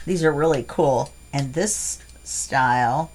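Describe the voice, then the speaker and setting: A woman's voice: a bass voice, takes it slowly, darkish tone, a little hiss or hum. A middle-aged woman hosting a casual crafting unboxing, chatty and rambling with fillers, her voice stuffy from allergies. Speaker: crafting channel host.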